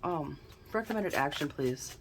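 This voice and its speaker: A woman with a notably deep, low voice, a bit snuffly and stuffed-up, chatting through a tarot card reading as she talks her thoughts aloud. Her tone stays relaxed and conversational. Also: a little slow, noisy background. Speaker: tarot reader, channel host.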